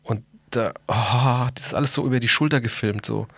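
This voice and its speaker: A middle-aged man co-hosts a podcast in a husky voice, talking in a slangy, enthusiastic way. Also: mid-range voice, fairly quick, a bit bright, no echo. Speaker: podcast co-host. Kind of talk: casual podcast chat.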